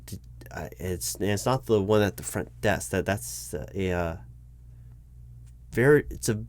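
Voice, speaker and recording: A man playing a nervous, polite recruiting manager in a job interview roleplay, speaking aloud in a halting, stammering, apologetic way. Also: lowish voice. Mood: flustered, impressed. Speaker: roleplay performer. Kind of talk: one-sided job interview audio roleplay, spoken aloud.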